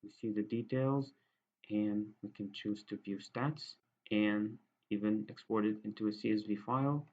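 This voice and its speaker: Low, creaky-voiced Egyptian man. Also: steady tone, moderate pace, darkish tone. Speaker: student programmer presenting a project. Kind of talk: software demo narration, explanatory. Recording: dull, lo-fi microphone sound.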